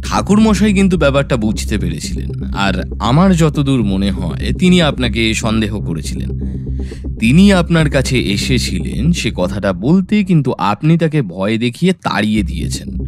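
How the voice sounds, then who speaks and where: A man playing a shrewd, confident detective in an audio story, laying out accusations in a probing, methodical way. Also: on the low side. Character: shrewd, confident male detective confronting a suspect. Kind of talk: Bengali audio story acting. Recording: music bed under voice.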